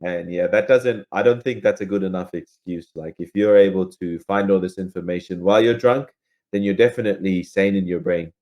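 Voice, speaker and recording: Man, low register, informal co-host banter, heavy on slang. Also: a little fast, dull audio. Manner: slangy, peppered with "bro" and "man". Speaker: podcast co-host. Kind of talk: casual chat.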